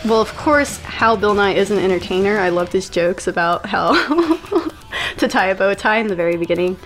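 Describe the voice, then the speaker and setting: A woman speaking as a guest in a casual chat, with a calm, even, monotone way of talking. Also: medium pitch, moderate pace, faint music behind. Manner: calm, self-described monotone delivery.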